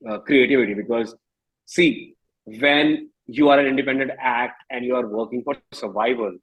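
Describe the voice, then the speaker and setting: A man in his thirties with an Indian accent, speaking candidly and reflectively as an interview guest, often halting and rephrasing his thoughts. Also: mid-range voice, husky, dull audio. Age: 30s.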